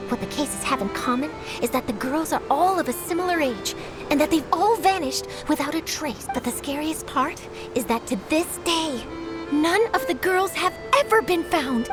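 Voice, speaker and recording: An eager young female reporter in an anime game, very high and perky. She is ambitious and theatrical, swooping up and down as she gushes, over clear background music. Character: eager, ambitious young woman newspaper reporter, bubbly and dramatic.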